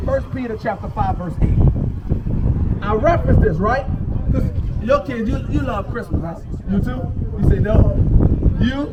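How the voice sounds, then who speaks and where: A man's voice: very high voice, moderate pace, dull audio. A man from the United States preaching on the street in an emphatic, colloquial way, checking in with his listeners as he goes. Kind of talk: street sermon with call-and-response to a reader and bystanders. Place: outdoors on a city street. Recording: distant mic, clear outdoor traffic noise.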